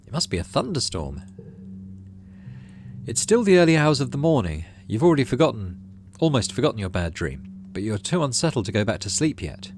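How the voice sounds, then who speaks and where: A man's voice: medium pitch, sing-song, fairly quick, slight background noise. A man hosting a gaming playthrough, reading story text aloud and adding casual commentary. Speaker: gaming channel host.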